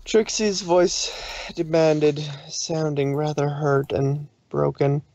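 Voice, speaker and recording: A man's voice: on the high side, level tone, slow pace, vocal fry, warm tone, no room sound. A man reading scripted story narration in a dramatic style.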